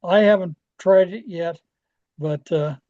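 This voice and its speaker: Dull lo-fi audio, American man, mellow tone, informal software tutorial, halting and rambling delivery. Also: sits high. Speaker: presenter training radio club members. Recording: dull, lo-fi screen-share audio.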